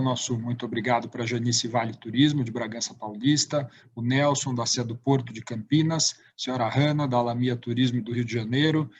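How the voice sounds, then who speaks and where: A man's voice: medium pitch, slight background noise. A man with a Brazilian Portuguese accent who hosts a travel talk show and interviews a guest, often ending his points with "né?". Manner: warm-up tags "né?" after statements. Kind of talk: hosting an interview. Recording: dull lo-fi call audio.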